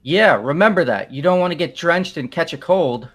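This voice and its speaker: A man's voice: a little high, animated, normal speed, a bit bright, soft background music. A man voicing a dim, easygoing, exasperated young man in a game playthrough. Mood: earnest, casual. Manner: incredulous, casual, earnest. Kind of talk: voiced visual novel game playthrough.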